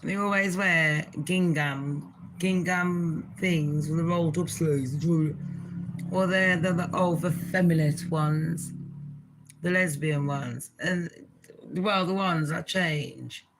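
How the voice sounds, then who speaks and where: Deep-voiced blunt woman. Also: takes it slowly, slight background noise, poor audio. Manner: blunt, swearing, chatty with laughs. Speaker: channel host. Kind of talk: casual reaction commentary.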